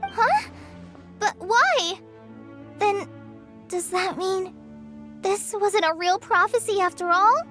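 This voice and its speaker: Game role for a sweet-natured earnest girl, very high voice, timid yet excitable, music underneath. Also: takes it slowly, pauses often, heavily acted. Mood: startled, puzzled. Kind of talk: English video game voice acting.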